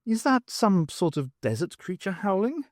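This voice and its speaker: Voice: mid-range voice, sing-song, normal speed, dry recording, studio quality, voice pushed up, lightly acted. A narrator voicing an earnest, self-important male painter with a grandiose manner in an audiobook. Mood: curious.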